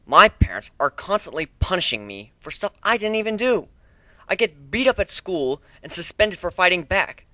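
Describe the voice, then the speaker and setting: Man as a sardonic, bright-voiced teenage nerd. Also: sits high, sing-song, moderate pace, no echo, voice pushed up, exaggerated voice. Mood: bitter, sardonic. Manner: sardonic, emphatic. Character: sarcastic, bitter bullied male teenage nerd narrator. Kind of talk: first-person dramatic story reading.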